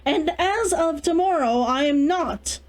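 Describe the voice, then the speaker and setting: Woman acting a defiant, high-voiced young heiress. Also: expressive, measured pace. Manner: indignant, clipped retorts. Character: defiant young heiress, indignant, stubborn, proud.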